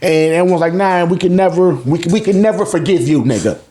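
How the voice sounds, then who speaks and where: High-pitched man giving a slangy, animated recap. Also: fast. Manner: slangy, profane, acts out reactions in retelling; repeats words in bursts. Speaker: host of a manga review channel. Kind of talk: casual, animated chapter recap commentary.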